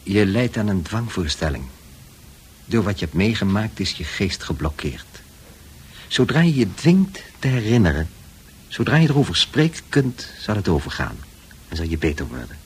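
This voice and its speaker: A man acting a calm, authoritative psychiatrist in a radio drama, speaking in a measured, reassuring way. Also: on the low side, breathy edge, exaggerated voice. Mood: calm, firm. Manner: measured, reassuring, hypnotically slow at times. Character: calm, authoritative male psychiatrist soothing a patient. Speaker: actor in a radio play. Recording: noisy old radio play recording.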